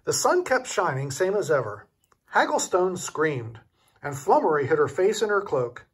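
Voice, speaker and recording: A man's voice: fairly high pitch, sing-song, moderate pace, slight background noise. An older man reading a children's storybook aloud expressively, as a grandfather would.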